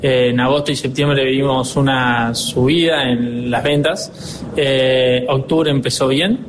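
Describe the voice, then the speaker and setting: Argentine man in his twenties, a dealership director interviewed on radio, mid-pitched voice, faint rumble behind. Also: steady tone, takes it slowly. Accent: Argentine Spanish. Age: 20s. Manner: earnest, upbeat, with many filler hesitations.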